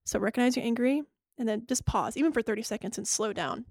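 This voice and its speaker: Young woman with a gravelly, higher voice. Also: fast, no room sound, clean studio sound. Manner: warm, laughing, explains step by step. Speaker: podcast co-host. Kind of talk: casual faith podcast teaching.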